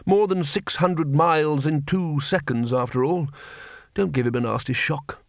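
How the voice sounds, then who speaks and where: A man's voice: fairly high pitch, normal speed, creaky, dry recording, studio quality. A man voicing a bluff, hearty elderly wizard head.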